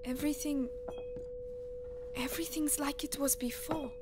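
A woman's voice: sits high, steady tone, normal speed, very noisy, lightly acted. A young woman acting a determined, protective teenage big sister in a dramatic video game, earnest and emotional. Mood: tender, subdued. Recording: game soundtrack music behind.

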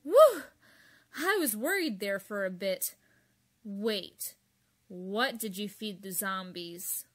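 A woman's voice: mid-range voice, lively intonation, slow. A woman playing an anxious, accusing colleague in a comic audio skit. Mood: relieved, wary. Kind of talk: comedic audio drama acting.

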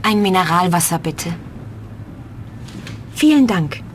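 A woman's voice: fairly low pitch, lively intonation, slow pace, slight background noise. A woman voicing a polite young woman applying for a job, in a scripted language-course dialogue with careful delivery.

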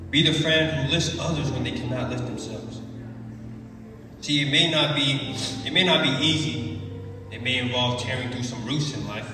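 A young man preaching a prepared sermon in an earnest, deliberate manner, speaking from a church pulpit into a handheld microphone. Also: mid-range voice. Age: young adult. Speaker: preacher giving a short sermon. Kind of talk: sermon, prepared and read from notes. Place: church sanctuary, at a wooden pulpit. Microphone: handheld microphone through the hall sound system. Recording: very echoey church hall, far from mic.

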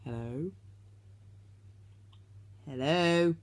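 A man's voice: a little high, extremely slow. A young teenage boy, chatting casually and making phone calls in a room at home. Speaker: prank caller in a home video. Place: small room at home, indoors.

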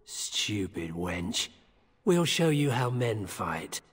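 An actor playing a brutal, contemptuous human guard in a fantasy video game, menacing and sneering. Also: male voice, fairly low pitch, big pitch swings, slow pace, husky, big-room sound, heavily acted. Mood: sneering menace.

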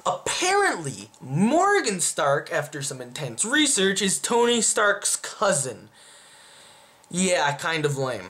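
A man's voice: sits high, bright, forceful tone. A young man hosting a casual, chatty movie review, talking expressively to camera in a small room. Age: young adult. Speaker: video host, movie reviewer. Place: small plain room indoors.

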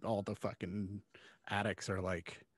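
A man's voice: lowish voice, flat delivery, measured pace, breathy edge, no echo. Blunt man chatting on a dry-sounding podcast. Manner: blunt, profane, skeptical. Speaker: podcast co-host. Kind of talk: casual book review chat. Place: indoor room, remote video call.

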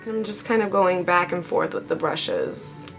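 A young woman in her twenties with a slightly raspy voice, chatting playfully through a makeup tutorial at her vanity. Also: lowish voice, very animated, moderate pace. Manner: chatty, playful, talks while applying makeup. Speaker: beauty vlogger. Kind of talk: casual makeup tutorial chat. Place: home makeup room with vanity. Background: faint background music.

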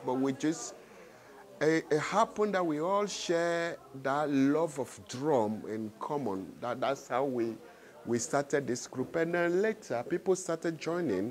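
A man in his forties from Togo, interviewed in a community hall, speaking earnestly with halting restarts. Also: medium pitch. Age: 40s. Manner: earnest, halting, repeats words. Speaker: community drum group leader.

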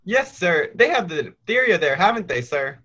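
A man's voice: high voice, sing-song, moderate pace, sharp tone, heavily acted. A teenage boy playing a cocky, eager twelve-year-old with big dreams in a staged radio play. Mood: anxious, polite.

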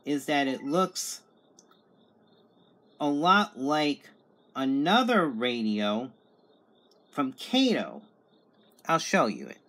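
A man giving a casual unboxing and review narration in a halting, methodical way, in short phrases. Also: fairly high pitch, fairly bright tone, no echo. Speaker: product reviewer.

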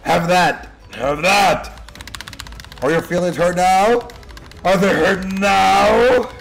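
A young man with a raspy, strained, partly lost voice giving excitable, slangy gameplay commentary, swinging from muttering to yelling. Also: sits high, slow pace. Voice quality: hoarse, raspy. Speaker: gaming video host. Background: music behind the voice.

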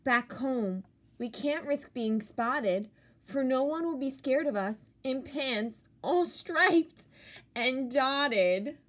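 A woman who is a teacher, reading a picture book aloud to children with expressive, theatrical emphasis on key words. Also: high-pitched, takes it slowly.

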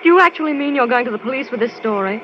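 A woman's voice: sits high, lively intonation, racing. An actress playing a defiant, sceptical young woman in a 1940s radio drama, tart and indignant in manner. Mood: mocking. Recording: noisy, dull vintage radio recording.

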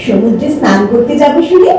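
An actress plays a sensitive, lively woman poet in a romantic radio play. Her voice is high and mellow, with playful, emotive delivery. It sounds far from the microphone in a heavily echoing hall. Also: a little fast, slight background noise. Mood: animated, amused. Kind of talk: stage audio drama acting, tender and expressive. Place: multipurpose hall, live performance. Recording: echoey hall, distant microphone.

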